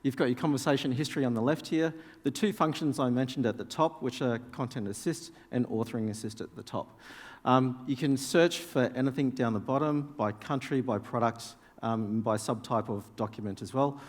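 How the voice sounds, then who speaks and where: A man whose first language is Danish walks a conference audience through a live software demo, speaking casually and turning to the room with questions. His voice sits at an ordinary middle pitch and carries the long reverb of a big hall. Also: normal speed. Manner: casual, explanatory, addresses audience directly. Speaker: conference presenter.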